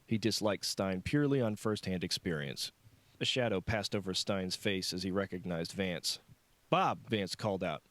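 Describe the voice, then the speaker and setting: A man's voice: low voice, normal speed, fairly bright tone, no echo. A man reading fiction aloud as a scripted audiobook narration.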